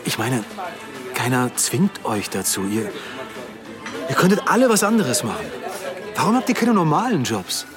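A man's voice: medium pitch, very animated, moderate pace, pauses often, a crowd in the background, lightly acted. Actor doing blunt defensive cop in a radio play, middle-aged guy, music playing behind. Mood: blunt, agitated. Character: defensive, blunt male police detective deep in debt. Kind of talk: radio drama acting. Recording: music clearly behind the dialogue.

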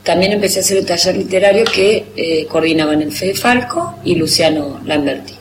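A woman's voice: lowish voice, normal speed. An Argentine woman writer in her thirties, chatting casually and self-mockingly in an interview, often laughing at herself. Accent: Argentine Spanish, rioplatense. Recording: roomy echo with background noise.

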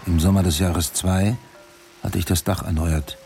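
An actor playing a solitary, hard-working farmer in a radio drama, narrating in a measured, plain, reflective way. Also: male voice, low-pitched, steady tone, creaky, darkish tone, soft background music.

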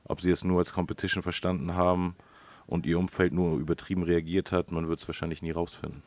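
A man's voice: deep voice, little pitch movement, fast talker, a little mellow. A man co-hosting a radio feature, telling the story in a casual, colloquial, conversational way.

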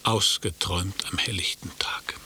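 Whiny pompous guy in a radio play, bossy, sharp raspy edge. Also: low voice, fairly even intonation, moderate pace, in a whisper, faint music behind, full character voice. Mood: petulant. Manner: imperious, whining. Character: petulant, demanding, pompous man Balduin waking from a nap. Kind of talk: literary radio play acting.

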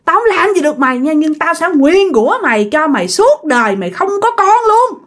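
A vengeful female ghost, acted by a woman storyteller, with a high, wildly swooping Southern Vietnamese voice. She is jealous and bitter, hammering repeated accusations and curses at the one who betrayed her. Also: very animated, normal speed, fairly bright tone, breathy edge, exaggerated voice. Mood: vengeful, cursing. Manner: accusing, repeats phrases insistently, bursts of menacing laughter.

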